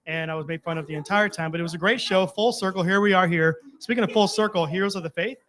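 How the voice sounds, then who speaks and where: A man's voice: sits high, fast, a bit bright. A man speaking as a stage guest in casual, joking chat. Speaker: guest, former theater performer.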